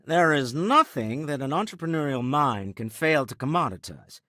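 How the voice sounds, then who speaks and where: An older male actor playing a shrewd, urbane businessman in a video game, raspy and articulate, with dryly formal, measured phrasing. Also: a little high, lively intonation, pushed and bright, no echo, clean studio sound. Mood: wry, assured. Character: shrewd, urbane businessman explorer, wry and principled. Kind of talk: video game character dialogue.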